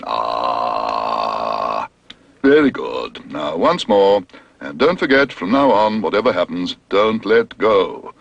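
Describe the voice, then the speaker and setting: Pompous middle-aged dentist, put on by a male reader: slow, deliberate, fussy, with a swooping voice. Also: sits low, big pitch swings, creaky, a bit bright, dry recording, dull audio, voice pushed down, exaggerated voice. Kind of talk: storybook reading.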